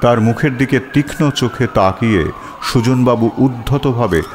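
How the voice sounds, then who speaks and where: A man narrating a scripted detective story as an expressive, descriptive storyteller. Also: mid-range voice, moderate pace, slight background noise.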